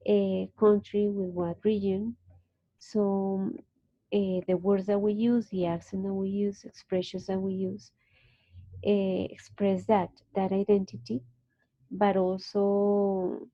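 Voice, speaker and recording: A woman teacher leads an online linguistics class. She talks slowly and keeps her pitch level and steady. Her voice comes through dry and slightly muffled over the call. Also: dry recording. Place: video call.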